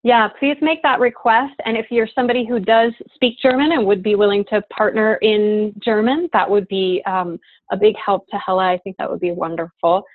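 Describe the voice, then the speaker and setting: A woman's voice: mid-range voice, fairly even intonation, normal speed, dry recording. A woman facilitating an online course on a video call, earnest and full of um and uh. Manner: earnest, lots of fillers. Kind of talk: leading an online class call. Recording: dry, phone-like call audio.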